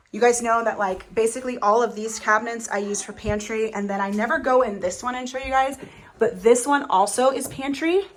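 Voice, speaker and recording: Chatty young woman, slightly hoarse. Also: medium pitch. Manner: chatty, upbeat, lots of fillers. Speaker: family vlogger. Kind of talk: casual chat to camera. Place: home kitchen.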